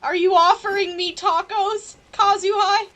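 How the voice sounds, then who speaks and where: A woman's voice: very high-pitched, steady tone, unhurried, fairly bright tone. A woman doing casual, jokey live commentary as she streams a game, with a playful manner.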